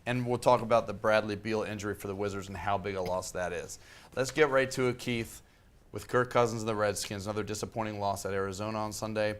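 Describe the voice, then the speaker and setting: Hoarse low-voiced sports show host. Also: male voice, fast talker. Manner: relaxed, conversational, with fillers. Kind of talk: studio sports talk show. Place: TV studio.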